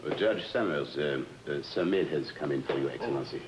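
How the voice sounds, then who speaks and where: A deep, fawning hotel manager. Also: male voice, dull audio, noisy background. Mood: obsequious. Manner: halting, hesitant, fawning speech with searched-for words. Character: fat, obsequious male hotel manager on a tropical island. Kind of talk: old-time radio drama acting. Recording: old radio recording with music.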